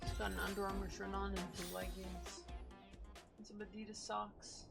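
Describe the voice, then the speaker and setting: A woman's voice: medium pitch, flat delivery, moderate pace, gravelly. Chatty woman streamer over game music. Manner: slangy, chatty. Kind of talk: casual livestream chat. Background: game music and character voices.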